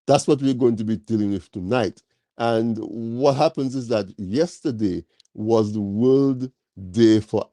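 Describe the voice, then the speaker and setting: A man hosting a live talk program, slightly nasal from a cold, speaking slowly with many fillers as he welcomes his audience. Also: on the low side, no room sound. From Caribbean. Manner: slow, warm welcoming address with many fillers. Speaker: talk show host.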